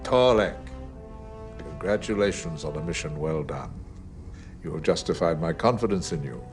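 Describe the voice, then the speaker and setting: An actor playing an authoritative senior Klingon general in a video game cutscene, measured and formal. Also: male voice, very deep voice, animated, faint music behind. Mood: approving, composed.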